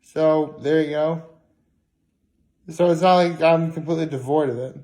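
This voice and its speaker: A tired man giving a casual, rambling vlog monologue, haltingly circling back and repeating himself. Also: on the high side, fairly even intonation, a little mellow. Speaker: daily vlogger.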